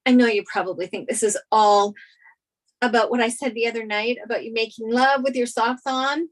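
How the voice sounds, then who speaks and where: An anxious young sitcom woman, played by a woman. She rambles apologetically in a high-pitched voice. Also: steady tone, a little fast, natural voice. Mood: apologetic, anxious. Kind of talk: sitcom script table read.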